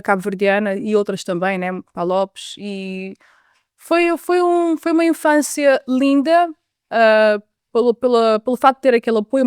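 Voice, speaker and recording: A woman's voice: medium pitch, darkish tone, smooth, dry recording, studio quality. A woman with a European Portuguese accent, a midwife guest telling her life story in an earnest, reflective way. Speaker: interview guest. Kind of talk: personal life story interview.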